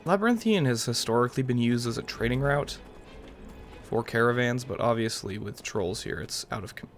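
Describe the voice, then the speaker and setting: A man's voice: medium pitch, expressive, moderate pace. A young man giving casual let's-play commentary as a gaming video host, musing aloud in a rambling way over game music. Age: young adult.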